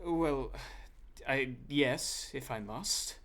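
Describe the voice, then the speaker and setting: A man playing a jealous, wounded vampire in a dark comedy audio drama, prim and theatrically indignant. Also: mid-range voice, drawn-out words. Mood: sulky, cold. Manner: indignant, pouting, melodramatic.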